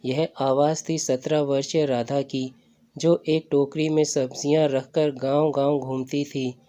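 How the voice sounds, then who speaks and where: A man's voice: medium pitch, little pitch movement, a little slow, dark tone. A man reading a scripted story as a narrator. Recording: dull lo-fi recording.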